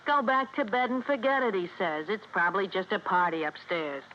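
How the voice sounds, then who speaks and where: Woman acting brassy young American wife, medium-pitched. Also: brisk, natural voice. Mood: recounting, guarded. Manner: brassy, colloquial. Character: brassy young building superintendent's wife, scornful of her husband. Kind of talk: golden-age American radio drama acting. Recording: muffled old radio recording, faint hiss.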